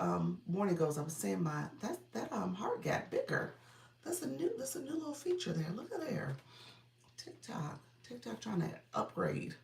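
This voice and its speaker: Low husky-voiced congested woman streaming live. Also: recorded from a distance. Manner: greets viewers by name, chatty and upbeat. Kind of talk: casual live stream chat. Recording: roomy sound, from off the mic.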